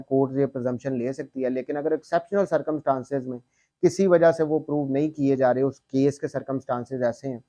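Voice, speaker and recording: Pakistani guy lecturing on law, slightly high voice, dry sound, keeps rephrasing his points. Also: darkish tone, no echo. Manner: repeats and rephrases points, emphatic slow reading of quoted text. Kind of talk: explanatory lecture, reading English legal text and explaining it.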